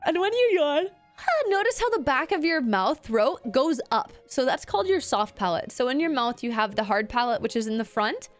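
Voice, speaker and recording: A woman's voice: sits high, very animated, moderate pace, faint music behind. A young woman streamer, giving a chatty, giggly voice lesson to her chat and swearing casually. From Canada.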